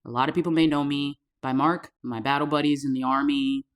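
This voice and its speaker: A woman's voice: deep voice, fairly even intonation. Earnest woman coach telling her story. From South Florida, USA. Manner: earnest and deliberate, slows to stress key words. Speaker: safety and preparedness coach. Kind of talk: personal storytelling to camera.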